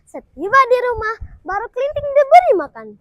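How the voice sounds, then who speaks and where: Girl of primary school age, very high voice, highly animated, theatrical folktale delivery, dry sound. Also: a little fast, dry recording. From Indonesia. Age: child, primary school pupil. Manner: dramatic, declamatory delivery. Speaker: student storyteller.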